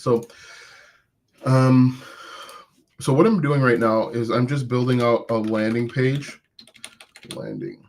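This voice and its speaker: A man with a voice of middle pitch, speaking as an online business coach on a live stream. He talks casually and encouragingly to his viewers, often restarting his sentences. He says he has an accent himself. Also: darkish tone, a little hiss or hum. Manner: conversational and encouraging, with frequent restarts.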